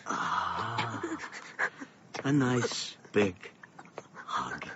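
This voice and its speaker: An actor playing an earnest middle-aged father in a radio play, speaking in a theatrical, deliberate and emphatic way. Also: lowish voice, expressive, very noisy. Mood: taunting, intense. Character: earnest ageing father defying evil with tenderness. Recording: dull lo-fi audio with music.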